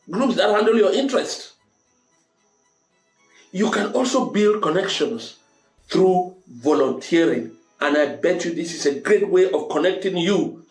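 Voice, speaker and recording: A man's voice: a little high, a little mellow. A man giving a motivational monologue to his audience in an emphatic, preacherly way, repeating phrases to drive points home. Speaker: host of a motivational talk show. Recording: roomy echo, music underneath.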